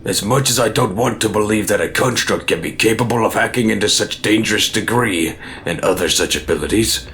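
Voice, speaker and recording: Man as a stern, lowish-voiced military commander. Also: a little fast, fairly bright tone, a little hiss or hum. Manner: formal, authoritative. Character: stern, skeptical male senior military commander. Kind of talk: audio drama acting.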